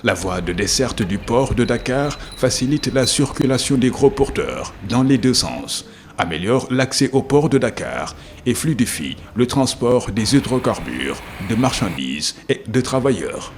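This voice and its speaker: A man's voice: medium pitch, sing-song, unhurried, slight background noise. A man reading a scripted documentary narration.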